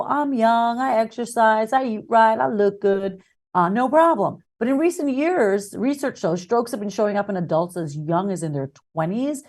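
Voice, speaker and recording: A woman's voice: medium pitch, a little mellow. A woman hosting a conversational interview, chatty and responsive to her guests. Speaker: interview host.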